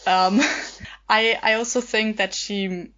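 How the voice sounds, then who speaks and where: A woman's voice: mid-range voice, fairly even intonation, slow, fairly bright tone, highs cut off. A woman podcast guest chatting casually in an interview, tentative and thinking aloud as she answers. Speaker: audio play cast member, podcast guest.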